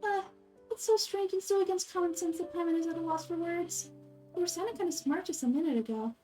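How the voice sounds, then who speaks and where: A woman's voice: extremely high pitch, a little fast, dark tone, far from the mic, faint music behind. A woman voicing a small, chatty female fairy companion from a game, blunt and exclamatory. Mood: baffled. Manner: speaks of herself in third person, exclamatory.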